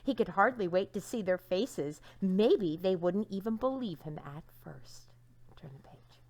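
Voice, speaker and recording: A woman with a medium-pitched voice reads a children's story aloud like a teacher. Her delivery is expressive, leaning into key words and letting the ends of phrases drop to a hush. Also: unhurried. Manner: expressive, dramatic emphasis with hushed line endings.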